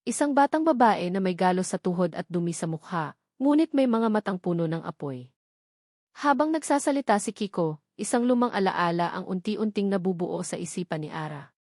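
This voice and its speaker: A woman's voice: lowish voice, expressive, a little fast, darkish tone, no echo. Dry-sounding woman narrating a romance story.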